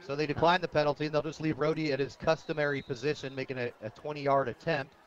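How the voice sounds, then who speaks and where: High-pitched American man calling football, lo-fi. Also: level tone, fast. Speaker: radio play-by-play announcer. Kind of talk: live American football play-by-play commentary. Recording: dull lo-fi radio broadcast audio.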